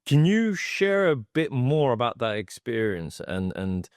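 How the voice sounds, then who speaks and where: A man's voice: mid-range voice, sing-song, measured pace, smooth, no room sound, clean studio sound. Upbeat podcast host, a man. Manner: enthusiastic, filler-heavy. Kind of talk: podcast interview hosting.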